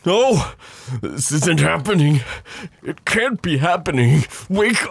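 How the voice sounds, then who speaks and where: A man playing a devoted, protective knight in an intimate fantasy romance audio roleplay, urgent and pleading with hushed reassurance. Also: fairly high pitch, very animated, takes it slowly, gravelly, husky, no echo, exaggerated voice. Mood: desperate, pleading. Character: devoted protective male knight, desperate, tender lover.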